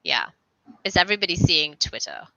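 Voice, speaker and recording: Woman host on a video call, low bright voice, punchy, laughs a lot. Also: huge pitch swings, brisk, vocal fry, dry recording, highs cut off. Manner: emphatic, laughs often, repeats words. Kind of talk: casual interview chat.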